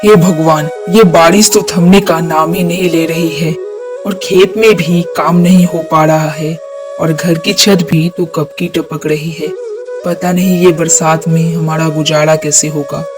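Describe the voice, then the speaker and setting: A performer voicing a poor, hardworking village farmer, gentle and devout, in earnest cartoon moral-story dialogue with plaintive exclamations. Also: mid-range voice, fairly even intonation, measured pace, music in the background, natural voice. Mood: worried, pleading.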